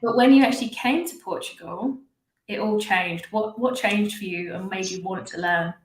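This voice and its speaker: A woman's voice: medium pitch, moderate pace, echoey room, rough recording. Upbeat woman hosting a live stream. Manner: upbeat, chatty, laughs often. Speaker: host and language teacher.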